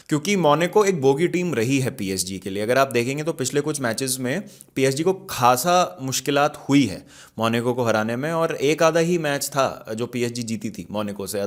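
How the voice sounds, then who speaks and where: A man with an Indian accent talks through football matches as a show host, conversational and opinionated, with emphatic asides. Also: mid-range voice. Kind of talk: casual football match analysis, mixing Hindi and English.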